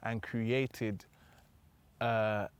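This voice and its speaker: A man's voice: lowish voice, extremely slow, smooth. Chatty young British man. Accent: British English. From England. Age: young adult. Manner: relaxed, chatty, uses filler phrases like "do you know what I mean". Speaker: guest. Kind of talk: casual conversational interview.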